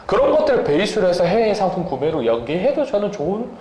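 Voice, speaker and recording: A man's voice: high voice, sing-song, fast talker, mellow, highs cut off, a little hiss or hum. A man hosting a conversational business interview, explaining and advising at length before he gets to his questions. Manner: long-winded, explanatory, advising. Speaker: interviewer and host. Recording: roomy, echoing indoor sound.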